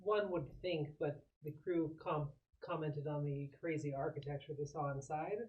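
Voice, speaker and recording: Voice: mid-range voice, steady tone, moderate pace, lo-fi sound, a little hiss or hum. A tabletop game player with a mid-range voice talks through options with the group. The delivery is casual, half in character.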